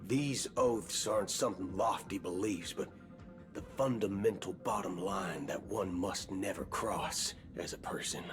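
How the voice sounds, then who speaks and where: An actor playing a swaggering, wry cowboy gunslinger in a video game, talking in folksy slang. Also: male voice, low voice. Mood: drawling. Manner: folksy slang, slow drawling delivery. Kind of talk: video game cutscene acting. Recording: game audio with background music.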